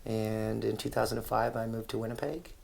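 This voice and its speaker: A man from Canada, a musician and singer-songwriter, answering interview questions in a halting, searching way. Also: lowish voice, steady tone, gravelly, a little mellow. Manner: halting, full of fillers and restarts. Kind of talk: casual interview.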